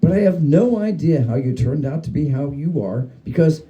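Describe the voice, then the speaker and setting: A man giving a dramatic reading of a humorous spooky story, with theatrical, deliberate phrasing. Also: a little high, lively intonation, mellow, lo-fi sound. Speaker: story reader.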